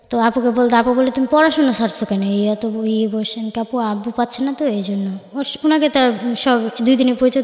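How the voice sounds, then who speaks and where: A 19-year-old woman with a Bangladeshi accent tells her life story informally, halting and correcting herself as she relays conversations. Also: on the high side. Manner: halting, self-correcting, acts out reported dialogue. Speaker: guest telling life story. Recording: echoey, reverberant room.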